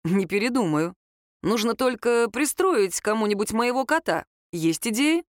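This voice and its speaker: A woman reader voicing a nonchalant, teasing young man in audiobook dialogue, calm, lazy and deadpan. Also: a little high, moderate pace, no room sound, studio quality, lightly acted.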